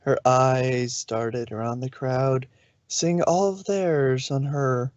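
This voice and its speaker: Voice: medium pitch, steady tone, slow, a little mellow, no echo. Dry, muffled storybook narrator. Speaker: narrator, fan fiction reader. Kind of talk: storybook narration read aloud.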